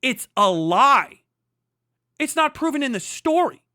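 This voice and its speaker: A man's voice: very high voice, very animated, unhurried, sharp tone, dry recording, studio quality. A man doing heated live reaction commentary as a stream host, profane, combative and mocking. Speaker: livestream host reacting to a clip.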